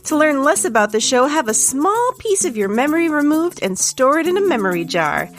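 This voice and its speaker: A woman announcer with a slightly high voice reads scripted credits. She has dry humour, and light music plays behind her. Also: very animated, brisk, fairly bright tone.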